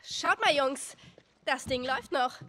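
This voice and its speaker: A woman's voice: sits high, expressive, a little slow, bright, forceful tone, exaggerated voice. A woman playing a plucky, sarcastic teenage girl survivor in a dubbed video game, casual and sardonic. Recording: game soundtrack with faint noise.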